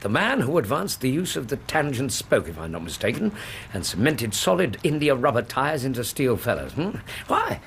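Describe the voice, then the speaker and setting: An actor playing an amiable, well-spoken doctor in a radio drama, with a genial, formal manner. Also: male voice, medium pitch, sing-song, moderate pace, vocal fry, a bit bright, a little hiss or hum. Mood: delighted. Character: amiable English doctor companion, keen cycling enthusiast.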